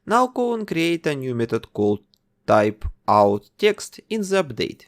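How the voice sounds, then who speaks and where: A man narrating a coding tutorial, calmly giving instructions one step at a time. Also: on the high side, a little slow. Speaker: game development tutor.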